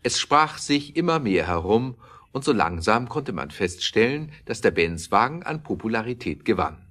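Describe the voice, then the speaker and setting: Very deep-voiced male narrator. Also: sing-song, normal speed, a bit bright. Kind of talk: scripted narration of an audio drama.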